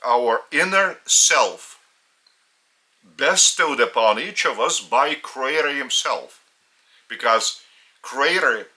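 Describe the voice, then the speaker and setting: A man with a Lithuanian accent, a native Lithuanian speaker, giving a solemn spiritual teaching in English in slow, deliberate phrases with long pauses. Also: mid-range voice, sharp tone, poor audio.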